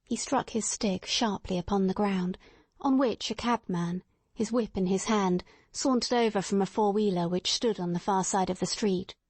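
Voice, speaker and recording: A woman's voice: medium pitch, normal speed, darkish tone, breathy edge, dry recording. A woman reading a story aloud as a scripted dramatic audiobook narration.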